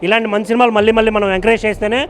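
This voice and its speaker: A man's voice: high voice, little pitch movement, very fast. A man giving an earnest spoken review to camera as a member of the public. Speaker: moviegoer giving a film review.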